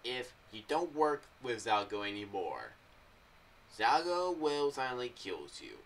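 A young American man giving casual, meandering commentary to camera in a halting, loose way. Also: mid-range voice, very animated, fairly bright tone, recorded from a distance. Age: young adult. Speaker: video creator giving theories on an audio drama series. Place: small room indoors. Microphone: boom-arm condenser with pop filter, off to the side.

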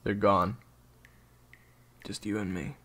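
A man playing a cold, defiant assassin gang leader in an audio roleplay drama, with a husky, gravelly voice. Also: fairly low pitch, level tone, slow pace, a bit bright, a little hiss or hum. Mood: grim.

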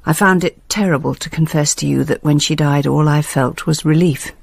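A bitter, grieving grandmother confesses in a radio monologue. Her voice is creaky and husky, recorded close and dry, with deliberate phrasing. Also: deep voice, fairly even intonation, a little mellow, no echo, exaggerated voice. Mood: anguished confession. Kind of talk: dramatic monologue in British radio drama.

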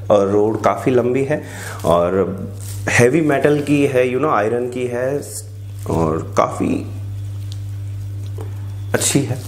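एक युवा आदमी अपने घर के छोटे कमरे में जिम का सामान अनबॉक्स कर रहा है, आराम से बातें करता है, बीच-बीच में "अ" बोलता है और अंग्रेज़ी शब्द मिलाता है।. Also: male voice, mid-range voice, a little mellow, a little hiss or hum. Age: young adult. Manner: chatty, many filler sounds, English words mixed into Hindi. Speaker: tech YouTuber unboxing gym gear. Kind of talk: casual unboxing vlog. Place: small indoor room with a tiled wall.

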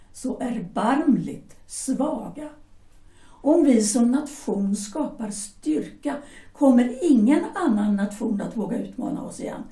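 A woman's voice: mid-range voice, dark tone, close to an everyday voice. A woman playing an insistent, pushing wife. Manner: insistent, persuasive. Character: persuasive young wife urging her husband on. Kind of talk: audio drama acting. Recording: roomy echo, distant from mic.

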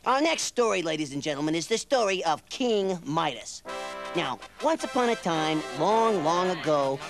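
A man's voice: fairly high pitch, lively intonation, a little slow, creaky, fairly bright tone, faint music behind, full character voice. A man voicing a brash, sarcastic, streetwise rat. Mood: narrating. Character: wisecracking streetwise male rat.

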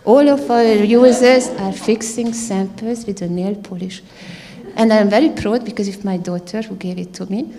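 A woman in her fifties with a low voice, a scientist speaking earnestly on a panel with slightly halting phrasing, holding a handheld mic. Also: dark tone, in a large hall. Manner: earnest, proud, halting non-native phrasing. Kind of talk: panel discussion. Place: theater stage at a panel event.

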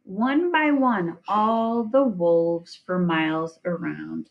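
A woman reading a children's nonfiction picture book aloud in a deliberate way, with chatty asides. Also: on the low side, gravelly, highs cut off. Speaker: reader of children's science book.

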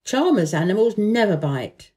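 A woman's voice: mid-range voice, very animated, moderate pace, dark tone, lightly acted. A woman voicing an imperious, curious rich young boy in a storybook, commanding yet full of wonder. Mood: austere.

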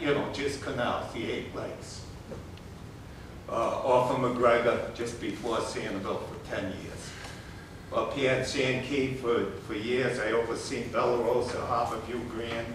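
A man's voice: medium pitch, fairly bright tone, slight background noise. An older man with a New England accent making a rambling, blunt public complaint at a city commission podium, with lots of fillers. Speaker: resident giving public comment. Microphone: podium gooseneck mic. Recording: echoey chamber, mic at a distance.

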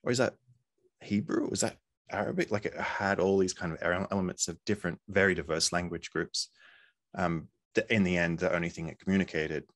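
Low-voiced man, hesitant and thoughtful. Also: fairly even intonation, fairly quick, dry recording. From Poland. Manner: thoughtful, hesitant, many ums. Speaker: artist. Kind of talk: casual interview. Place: home room, video call.

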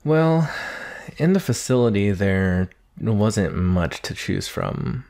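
Guy doing a shy nervous monster boy roommate for asmr roleplay, lowish voice, takes his time, kinda rambly. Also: lively intonation, slow pace, warm tone. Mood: shy, friendly. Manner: hesitant, nervous, rambling, apologetic. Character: shy, earnest nineteen-year-old male naga monster roommate. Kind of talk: ASMR roleplay, soft conversational acting.

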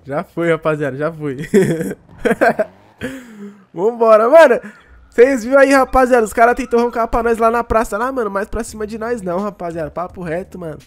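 A man talking in a casual way as he plays a roleplay game. He has a cold and throws in street slang and quick shouts. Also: sits high, lively intonation. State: congested with a cold. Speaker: roleplay streamer. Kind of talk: casual gameplay chat. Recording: music playing behind the voice.

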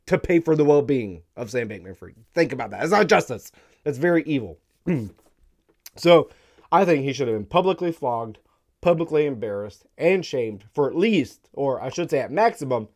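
A man in his thirties hosting a crypto live stream, hoarse and losing his voice, talking bluntly with wry laughs into a studio mic. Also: medium pitch, darkish tone. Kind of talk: casual opinionated commentary. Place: dark studio room, indoors. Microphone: studio mic on stand in front.

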